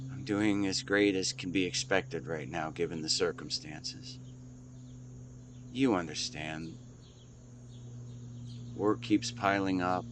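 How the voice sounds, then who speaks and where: A man playing a weary, devoted husband in a scripted dramatic monologue, speaking tenderly and haltingly as if to his wife. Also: sits low, slight background noise. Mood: forced cheer, then weary, fond. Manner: halting, earnest, intimate delivery. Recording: clear background ambience with nature sounds.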